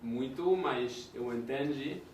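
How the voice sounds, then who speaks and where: A man who is a beginner language student, hesitantly searching for words in very halting second-language speech. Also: mid-range voice, a little mellow. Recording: echoey room, far from mic, faint noise.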